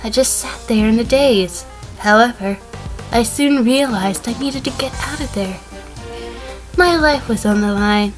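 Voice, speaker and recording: A woman playing a cool, guarded sheep defendant testifying deliberately in a fan audio drama. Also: fairly high pitch, soft background music, natural voice, rough recording. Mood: composed, recounting. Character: female sheep defendant, cool, guarded former assistant mayor.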